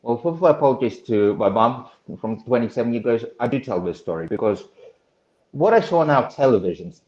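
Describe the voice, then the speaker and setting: A man answers questions earnestly, often pausing and restarting. His voice sits at a middle pitch. The recording is dull and lo-fi, with a roomy echo. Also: lively intonation, a little mellow. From Russia. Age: 30s. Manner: earnest, halting, many uhs and restarts. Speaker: political candidate, guest. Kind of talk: interview answers. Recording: echoey room, dull lo-fi sound.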